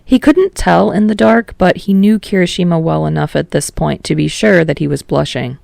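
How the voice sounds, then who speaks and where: A woman's voice: on the low side, moderate pace, gravelly, darkish tone, dry recording. A woman reading fiction aloud as an audiobook narrator, in a scripted storytelling style.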